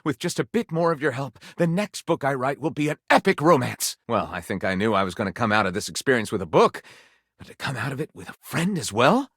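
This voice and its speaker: A man's voice: medium pitch, big pitch swings, fairly quick, a bit bright, breathy edge, no echo, clean studio sound, full character voice. A man voicing a theatrical, bookish living-library character in a video game, effusive and florid in diction. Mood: excited, fond. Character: flamboyant, theatrical bookish living library, eager and easily wounded.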